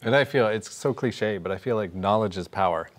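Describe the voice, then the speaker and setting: A man's voice: fairly low pitch, brisk. An American man in his thirties casually leading a studio panel discussion, wearing a lapel mic. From United States.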